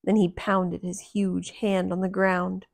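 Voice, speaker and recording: A woman reading a children's picture book aloud in a deliberate, expressive storyteller's manner. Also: lowish voice, creaky, dry recording.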